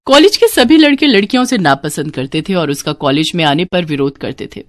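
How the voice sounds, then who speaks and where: A woman's voice: low voice, expressive, fairly quick, no room sound. A woman storyteller reads a bedtime tale as scripted narration. Her delivery is dramatic, and the recording is close and dry.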